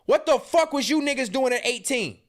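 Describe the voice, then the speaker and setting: Male podcast host in his thirties, high voice, heated and taunting reaction commentary. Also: a little fast. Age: 30s. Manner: profane, mocking, confrontational.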